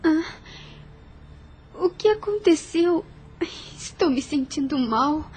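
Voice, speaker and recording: A woman playing a gentle, polite, earnest young heiress in an audio drama. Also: high voice, expressive, slow pace, a little hiss or hum. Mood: faint.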